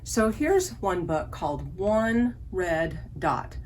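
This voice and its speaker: Woman, children's librarian, slow pace, slightly low pitch, simple instructions and questions, steady background noise. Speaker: librarian leading a children's story time. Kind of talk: children's online lesson with songs.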